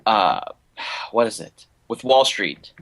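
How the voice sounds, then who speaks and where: A man's voice: on the low side, animated, takes it slowly, fairly bright tone. A young American man chatting casually as a podcast co-host, in an opinionated, rambling fan manner. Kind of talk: casual panel chat about films and animation.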